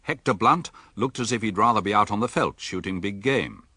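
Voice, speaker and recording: An actor playing a mild village doctor in a radio drama, speaking and narrating in a measured, matter-of-fact way. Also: male voice, fairly low pitch, bright, forceful tone, slightly rough, no room sound, clean studio sound. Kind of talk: radio drama dialogue and first-person narration.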